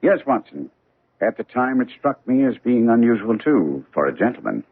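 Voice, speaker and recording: An actor playing a cool, self-assured gentleman detective in a radio drama, measured and incisive. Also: mid-range voice, very animated, breathy edge. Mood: confident deduction. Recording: muffled vintage radio broadcast.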